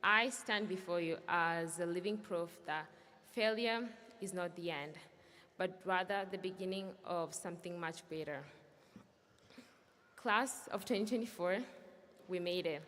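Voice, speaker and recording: A young woman whose first language is Swahili, giving an earnest, measured graduation speech. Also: lowish voice, fairly bright tone. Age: young adult. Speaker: student speaker. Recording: echoey hall from far off.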